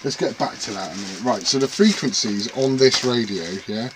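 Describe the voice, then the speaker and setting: A man's voice: mid-range voice, fairly bright tone, slight background noise, rough recording. A man rambling casually and self-deprecatingly to camera about his radio gear at home. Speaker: CB radio hobbyist vlogger.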